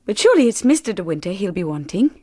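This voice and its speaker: An actress playing a timid, anxious young wife in a radio drama, polite, hesitant and nervous. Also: female voice, mid-range voice, very animated, quick pace, darkish tone. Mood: polite unease.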